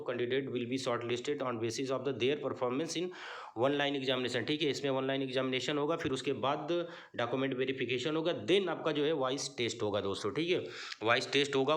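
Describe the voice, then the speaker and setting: A man with an Indian accent explains written notices informally as an online guide, with repeated check-ins and halting reading of English. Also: medium pitch, pushed and bright. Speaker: online job-form guide host.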